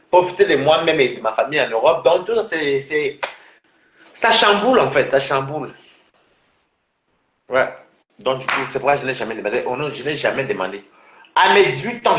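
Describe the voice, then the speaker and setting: A man's voice: mid-range voice, a bit bright, roomy sound, highs cut off, slight background noise. Rambling man from Cameroon talking casually. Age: adult. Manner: rambling, many restarts and fillers. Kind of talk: casual personal monologue.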